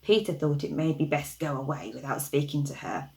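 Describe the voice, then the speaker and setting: A woman storyteller reading a storybook expressively to young children, with hushed asides and gentle questions to the listeners. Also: sits low, fairly even intonation, brisk, low-quality recording.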